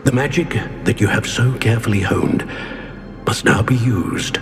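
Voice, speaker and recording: An actor playing a solemn old wizard in an enchanted portrait for a video game, measured and formal, in long reverb. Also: male voice, on the low side, faint music behind, close to an everyday voice. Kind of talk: cinematic video game acting.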